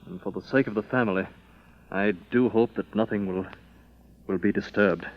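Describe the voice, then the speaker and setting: A man's voice: lowish voice, moderate pace, natural voice. Male actor: deferential captain and aide, formal military bearing, vintage radio drama, dull recording. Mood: respectful, earnest. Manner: respectful, clipped military formality. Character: dutiful, deferential army captain, aide to a colonial governor. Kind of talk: old-time radio drama acting. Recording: muffled old radio recording.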